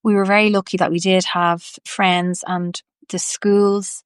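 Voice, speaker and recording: A woman's voice: medium pitch, level tone, unhurried, smooth, dry recording, studio quality. A woman with a Dublin Irish accent, a homeopath telling her personal story in an earnest, reflective way. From Ireland.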